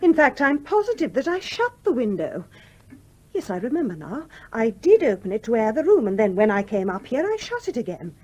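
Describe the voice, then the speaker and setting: An actress playing a small, genteel, determined English widow in a radio play, speaking in a polite, proper manner. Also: female voice, a little high, big pitch swings, brisk, warm tone, slightly rough, highs cut off. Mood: startled, wondering. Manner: polite, well-spoken, proper. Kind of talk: 1970s radio play acting. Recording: noisy old radio drama recording.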